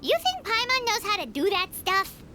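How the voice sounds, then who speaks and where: A woman's voice: very high voice, big pitch swings, normal speed, heavy background noise. A woman voicing a tiny, cheeky fairy companion in a video game, who calls herself by name and speaks bluntly. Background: game music.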